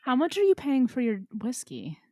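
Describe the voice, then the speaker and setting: A woman co-hosting a podcast, chatting casually in a blunt, deadpan, teasing way. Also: high-pitched, moderate pace, no room sound. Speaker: podcast co-host. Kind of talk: casual banter.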